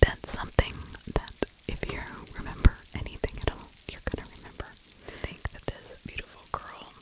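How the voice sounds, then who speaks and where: A middle-aged woman narrating true crime as ASMR in a breathy, unvoiced whisper, close to the microphone, conversational and opinionated, with frequent pauses. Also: low-quality recording. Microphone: close microphone with furry windscreen.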